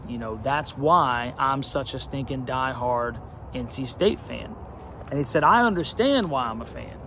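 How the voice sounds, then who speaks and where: A man's voice: medium pitch, noisy background. A man from North Carolina hosting a casual sports talk monologue, conversational and emphatic, with many fillers. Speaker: sports channel host.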